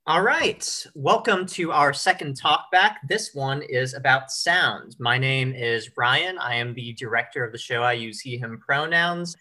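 Bright-voiced man leading a discussion. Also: medium pitch, measured pace, few pauses. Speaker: director hosting a talkback. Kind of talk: casual panel discussion.

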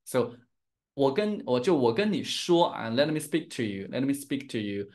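Young male Mandarin teacher with a Chinese accent, bilingual, laughs easily, very expressive pitch. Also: moderate pace. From Chengdu, Sichuan, China. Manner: animated, switches between English and Mandarin, laughs often. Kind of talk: live-streamed language lesson.

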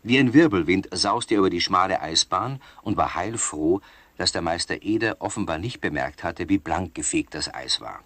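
A man narrating a children's radio play as storyteller, in a clear, measured manner. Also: low-pitched, expressive, a bit bright, no echo, heavily acted. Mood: storytelling. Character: male storyteller of children's tale.

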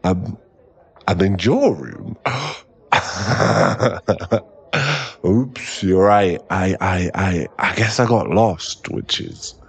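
A man's voice: low-pitched, gravelly, slightly rough, exaggerated voice. A man acting a shy, stammering young boyfriend in an ASMR roleplay audio drama. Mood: tipsy, playful. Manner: halting, stammering, repeats words. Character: young male millionaire boyfriend, shy, later tipsy and clingy.

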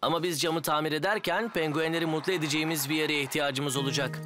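A man's voice: on the high side, steady tone, quick pace, natural voice. A man playing a friendly, patient builder foreman who directs and encourages his team in a cartoon dub. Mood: encouraging. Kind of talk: animated cartoon dub acting. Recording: loud music behind the voice.

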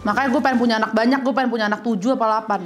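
A woman's voice: a little high, rapid-fire, soft background music. A woman in her thirties with a Betawi accent, chatting casually and animatedly as a guest. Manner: chatty, animated, with playful put-on voices. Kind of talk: casual chat. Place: bright indoor room.

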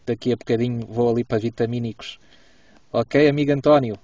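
A man's voice: medium pitch, fairly even intonation, brisk, warm tone. A man with a European Portuguese accent chatting casually and courteously as a radio ham inside a car, often checking back with his listener. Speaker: amateur radio operator. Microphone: camera a little way from him. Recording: dull, roomy, distant camera microphone.

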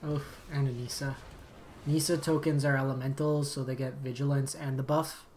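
Streamer type: slightly raspy man, low-key play-by-play, mid-pitch, light background hiss. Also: level tone, moderate pace, a little mellow. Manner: thinking aloud, low-key, muttered asides. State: slightly raspy from long talking. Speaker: game streamer. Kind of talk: casual gameplay commentary. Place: home streaming setup.

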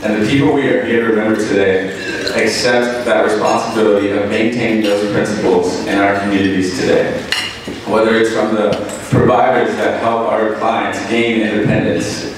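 A man's voice: on the low side, fairly even intonation, moderate pace, recorded from a distance. A young man emceeing a breakfast event from a podium, upbeat and now and then stumbling over his words. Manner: upbeat, stumbles and corrects himself. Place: large banquet hall. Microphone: podium mic from a distance. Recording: echoey hall recorded from afar with noise.

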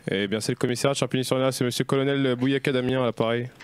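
A young man playing an officious, insistent police colonel on a prank call, formal and deadpan. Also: mid-range voice, rapid-fire, smooth, voice pushed down, natural voice. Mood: deadpan, official.

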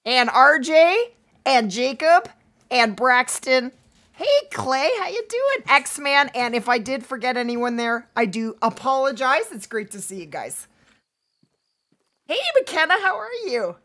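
A woman live-streamer is chatting through her game at her home desk mic, greeting her viewers one by one. Her voice sits high and bright. She is losing her voice from too much streaming. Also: very animated, measured pace.

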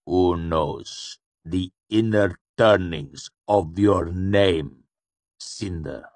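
Man voicing a slow, deep, coldly menacing dark lord. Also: slightly rough, no echo, heavily acted. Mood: slow patience. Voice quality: cool, soft. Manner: calm, polite, deliberate menace. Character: shadowed, hooded male dark lord, coldly patient and menacing.

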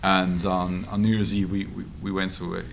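Male interviewee, Irish, low creaky voice, conversational storyteller, light room noise. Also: moderate pace. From Ireland. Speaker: journalist and author being interviewed. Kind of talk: conversational interview answers at a public discussion. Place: meeting room at a table. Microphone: table microphone. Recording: steady background room noise.

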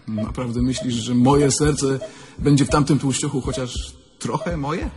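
An actor playing a dead young thief in a radio drama, wry, colloquial and sentimental, musing plaintively. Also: male voice, mid-range voice, a little slow, natural voice. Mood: wistful. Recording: music under the voice.

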